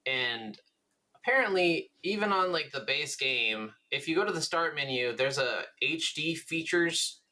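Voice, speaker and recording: A man's voice: fairly high pitch, lively intonation, a little slow, pushed and bright. A man co-hosting a gaming podcast, chatting casually and informally with some swearing. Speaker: podcast co-host. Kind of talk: casual chat about video games.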